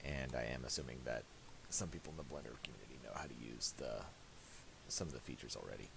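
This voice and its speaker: A man giving a casual, unscripted software tutorial, halting as he thinks aloud while he works. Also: extremely low pitch, creaky. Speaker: tutorial host. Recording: hissy home recording with mouse clicks.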